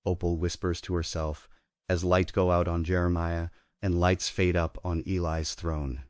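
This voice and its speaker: A man's voice: low-pitched, flat delivery, moderate pace, darkish tone, no echo, clean studio sound. A man giving scripted narration, reading out the stage directions of a play.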